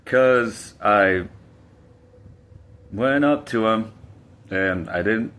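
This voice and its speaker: Deep-voiced man telling a story slowly. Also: a bit bright. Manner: unhurried, hesitant with fillers and restarts. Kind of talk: casual personal storytelling to camera.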